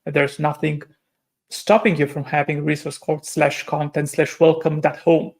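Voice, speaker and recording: A man's voice: a little high, level tone, moderate pace, vocal fry, a little mellow. A man from Romania, giving a technical conference presentation and explaining step by step with frequent hesitations and self-corrections. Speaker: conference speaker.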